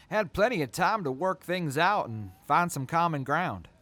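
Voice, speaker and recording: A man's voice: on the high side, sing-song, measured pace, bright, forceful tone, no room sound, exaggerated voice. A man playing an easygoing, good-humored soldier in a post-apocalyptic audio drama. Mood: amused reminiscing. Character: easygoing male wasteland soldier, good-humored crew member. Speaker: voice actor.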